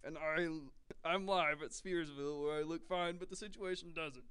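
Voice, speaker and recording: A man's voice: on the high side, steady tone, moderate pace, fairly bright tone, smooth, natural voice. A man playing a pompous TV news reporter delivering a mock broadcast in an announcer-like manner. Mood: self-important, grave.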